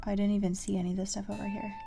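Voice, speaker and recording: Woman with creaky voice playing a game. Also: mid-range voice, level tone, racing. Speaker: host playing a video game. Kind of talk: casual game commentary, thinking aloud. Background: game music.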